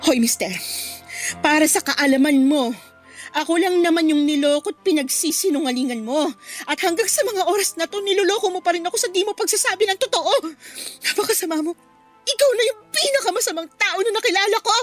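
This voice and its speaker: A woman's voice: very high-pitched, lively intonation, brisk, faint music behind. A woman acting a hurt, furious young woman in a Filipino radio drama, with an emphatic, accusing delivery. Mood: angry, accusing. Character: hurt, furious young woman confronting her cheating boyfriend.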